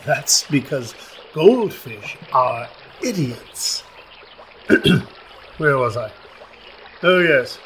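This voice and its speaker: Performer as magic fish, pompous, wordy, archaic diction, lively swooping delivery, radio play, background ambience. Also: medium pitch, extremely slow, long pauses, a little hiss or hum, full character voice. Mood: pompous, brisk.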